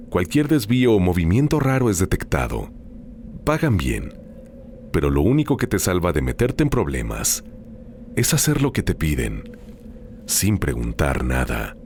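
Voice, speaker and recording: A man with an extremely low voice narrates eerie tales as a host. His delivery is deliberate and scripted, pausing for effect, and his pitch rises and falls in an animated way. A noticeable ambient noise sits behind him. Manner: measured, deliberate storytelling with dramatic pauses. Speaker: host and narrator of listener-submitted scary stories. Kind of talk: slow scripted horror narration.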